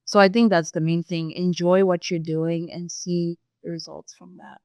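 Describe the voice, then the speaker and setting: Young woman from Zimbabwe, interview guest, lowish voice, smooth and clear, dry close sound. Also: fairly even intonation, a little mellow, no room sound. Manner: thoughtful, measured, with fillers. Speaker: sales employee, guest. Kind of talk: casual interview answers.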